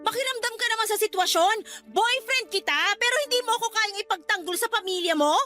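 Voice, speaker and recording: A woman's voice: very high-pitched, fast talker, sharp tone, faint music behind. A woman acting as an indignant, hurt young girlfriend who argues back sarcastically in a radio drama. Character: exasperated, hurt young girlfriend standing her ground.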